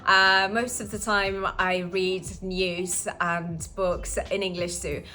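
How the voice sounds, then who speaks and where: A woman's voice: medium pitch, little pitch movement, takes it slowly, pushed and bright, faint music behind, low-quality recording. A young woman whose first language is Russian, explaining language-learning habits in a casual vlog with frequent "uh" fillers. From Russian-speaking. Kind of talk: casual vlog talk to camera.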